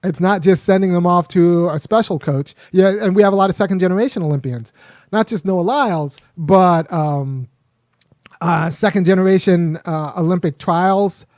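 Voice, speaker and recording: A man's voice: high-pitched, normal speed, a little mellow, dry recording. Talkative man in a dry, close room. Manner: rambling, frequent restarts and fillers. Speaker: host. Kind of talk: casual commentary monologue.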